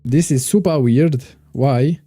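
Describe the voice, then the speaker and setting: Dark-voiced man streaming a game. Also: mid-range voice, no room sound, soft background music. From Morocco. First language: Moroccan Arabic. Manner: thinks aloud, chats with viewers, halting non-native phrasing. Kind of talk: casual live-stream gameplay commentary.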